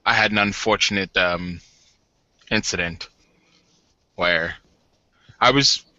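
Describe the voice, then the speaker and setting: Man playing a guarded, halting groom, dry muffled audio. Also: low-pitched, fairly even intonation, bright, forceful tone, dry recording, exaggerated voice. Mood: guarded, awkward. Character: reserved, awkward gym-owning bridegroom.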